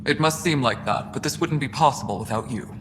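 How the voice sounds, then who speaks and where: A man's voice: medium pitch, a little fast, natural voice. An actor playing an earnest, encouraging male official in a video game. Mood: reassuring. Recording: noisy, echoing hall, ambient sound.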